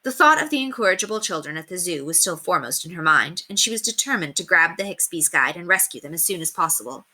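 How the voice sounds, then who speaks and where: A woman reading a children's novel aloud as expressive scripted narration. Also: fairly low pitch, fast talker, few pauses.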